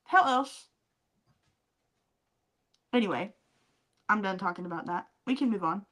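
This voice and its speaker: A woman's voice: medium pitch, animated, a little slow, fairly bright tone. A woman co-hosting a podcast in a casual chat, speaking candidly and bluntly with slang and swearing. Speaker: podcast co-host.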